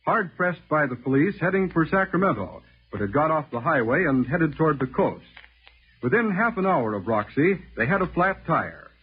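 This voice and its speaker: A man's voice: on the high side, breathy edge. A man with an American accent reading scripted narration in a dramatic, clipped 1940s radio announcer style. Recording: thin, phone-like old radio recording.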